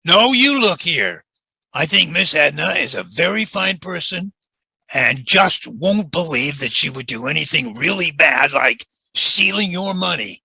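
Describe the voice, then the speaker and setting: A man's voice: on the high side, very animated, measured pace, vocal fry, bright, forceful tone, breathy edge, no echo, heavily acted, poor audio. An actor playing a loyal, indignant young lawman's assistant with an American accent and a plain, earnest way of speaking in a Western radio drama. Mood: upset, defiant. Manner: plain-spoken, stammering earnestness.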